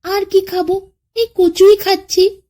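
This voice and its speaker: Woman as a sly, high-voiced wheedling jackal. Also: little pitch movement, slow pace, mellow. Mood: casual, sly. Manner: wheedling, falsely friendly. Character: sly, mischievous jackal nephew who tricks tiger. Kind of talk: children's folk-tale character voice.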